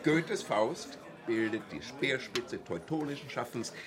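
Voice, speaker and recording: An actor playing a pompous, blustering nationalist professor who lectures and talks over others in a radio drama. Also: male voice, mid-range voice, animated, unhurried, a crowd in the background, close to an everyday voice. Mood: pompous, lecturing. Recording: studio radio play with loud music underneath.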